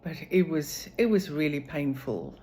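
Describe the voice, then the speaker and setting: A woman talks through a personal video diary in a deep, low voice. She speaks slowly and measuredly, pausing often between thoughts. A faint hum of room noise sits behind her. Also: lively intonation, warm tone. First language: Afrikaans. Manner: slow, measured, with frequent pauses and fillers. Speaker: vlogger sharing her recovery.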